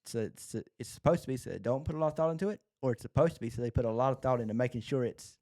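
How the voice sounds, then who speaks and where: A man with a mellow, relaxed voice at a middle pitch. He chats casually as a podcast co-host, talking through a television show. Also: very fast.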